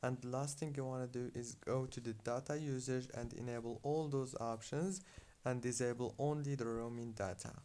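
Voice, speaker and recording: A man's voice: medium pitch, steady tone, normal speed, dark tone. A man hosting a how-to tutorial, giving step-by-step phone instructions in a narrated screen-guide style. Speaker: tutorial host. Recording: faint background noise with clicks.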